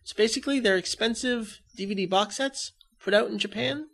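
A man's voice: high-pitched, a little fast, vocal fry, fairly bright tone, slight background noise. A man hosting a podcast in casual fan chat. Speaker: podcast host.

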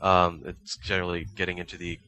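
A man's voice: deep voice, monotone, fairly quick, pushed and bright. A man co-hosting a podcast, explaining terms in a precise, slightly pedantic way. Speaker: podcast co-host. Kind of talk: explaining terms in casual discussion.

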